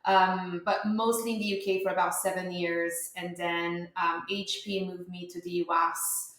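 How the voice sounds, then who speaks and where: A woman's voice: fairly low pitch, little pitch movement, measured pace, a bit bright. A woman from Romania in her thirties, a startup founder answering interview questions in a chatty way with many fillers and restarts. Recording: very echoey room.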